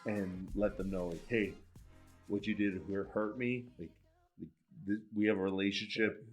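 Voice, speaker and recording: A man's voice: fairly low pitch, fairly even intonation, moderate pace, soft background music. A man in his thirties, a school vice principal, speaks earnestly as a podcast guest, rambling through his thoughts with lots of "like" and restarts. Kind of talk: casual interview chat.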